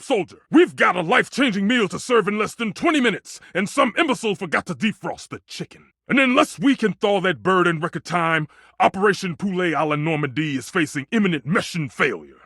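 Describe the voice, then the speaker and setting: A volatile, theatrical head chef for a video game. His husky voice sits fairly high and swings enormously in pitch. He is passionate and emphatic, and the sound is close and dry. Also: a bit bright, no echo, heavily acted. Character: temperamental, passionate male chef, a living kitchen stove. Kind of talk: video game character acting.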